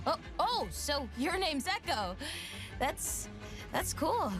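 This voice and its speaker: A woman's voice: high voice, big pitch swings, slow, full character voice. A woman playing a brash, defiant young armored warrior in a fantasy video game, cocky, snarky and blunt. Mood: awkward, smitten. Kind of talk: video game character voice lines. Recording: game voice lines over loud music. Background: prominent music.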